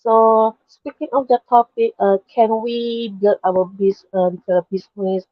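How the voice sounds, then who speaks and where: Halting woman from Indonesia, dry call audio. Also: fairly high pitch, fairly even intonation, no echo, dull audio. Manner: halting, searching for words. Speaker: entrepreneur and panelist. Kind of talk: casual group video call.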